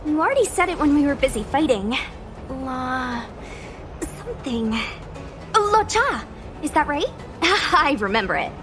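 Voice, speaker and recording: A woman voicing an eager, bossy young female knight in an anime-style game, brisk and a little scatterbrained. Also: high voice, sing-song, over music. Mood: cheerful, insistent. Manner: brisk, assertive, informal.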